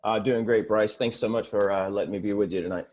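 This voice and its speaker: A man in his forties, born in Sweden, speaking as an interview guest in a casual, halting way with many fillers and restarts. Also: on the low side, steady tone, a little mellow. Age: 40s.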